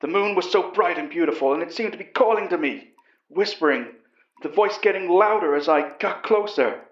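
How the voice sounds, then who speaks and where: In a dull, echoey recording, a storyteller puts on a haunted American male astronaut, weary yet defiant. His highish voice carries anger and fear, as a secret werewolf in a horror tale. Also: normal speed, lightly acted. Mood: anguished rage. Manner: warning, emotional. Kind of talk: storytelling character voice.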